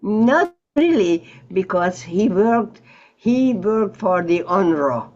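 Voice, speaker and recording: An elderly woman recalling her life in an interview, halting and reflective as she searches for words over an online call. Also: medium pitch, lively intonation, darkish tone, dull audio. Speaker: Holocaust survivor interviewee. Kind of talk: personal recollection in an interview. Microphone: video call.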